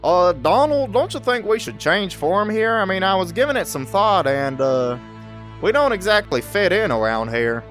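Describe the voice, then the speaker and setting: A man playing an earnest, excitable young boy hero in a comedic game parody, talking casually with awkward hesitation. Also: sits high, moderate pace, faint music behind.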